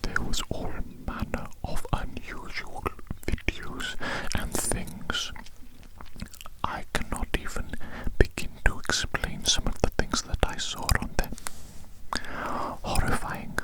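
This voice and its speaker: A performer voicing a polite, eerily eager alien posing as a friendly doctor, in a breathy, repetitive, coaxing whisper for an up-close ASMR roleplay. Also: natural voice, low-quality recording. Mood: friendly, musing. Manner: slow, repetitive, formal and coaxing. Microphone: very close microphone.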